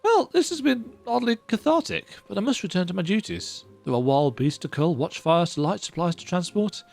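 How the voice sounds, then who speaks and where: A woman voicing a weary, earnest young male imperial soldier who speaks in a reflective, softly resigned way. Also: fairly low pitch, lively intonation, brisk, creaky, soft background music.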